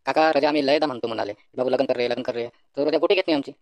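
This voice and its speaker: A man's voice: mid-range voice, very fast, natural voice. A man voicing a confident, take-charge young village friend in a comedy cartoon, colloquial, with a Varhadi Marathi accent. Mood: reassuring.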